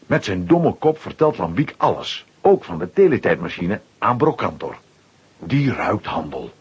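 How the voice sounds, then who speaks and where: A man's voice: lowish voice, sing-song, unhurried, breathy edge. A man narrating a children's audio play in a scripted storytelling style. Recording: slightly dull old audio recording.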